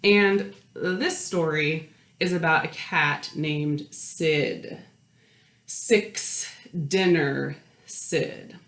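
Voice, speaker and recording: A woman reading a picture book aloud to young children, expressive, with questions and asides to her listeners. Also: low-pitched, extremely slow. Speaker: children's storytime host and story reader. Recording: roomy, echoey indoor sound.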